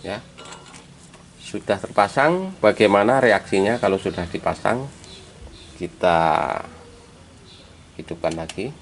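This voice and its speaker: A man gives an informal hands-on tutorial step by step, addressing viewers as friends and halting as he works. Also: on the low side, lively intonation. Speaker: electronics repair hobbyist presenting a tutorial. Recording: clearly noisy amateur recording.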